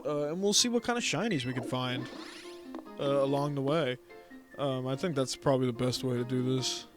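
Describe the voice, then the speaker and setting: A man's voice: mid-range voice, moderate pace, music in the background. A man hosting a let's play, giving casual, rambling commentary over a game. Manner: laid-back and rambling, with many fillers.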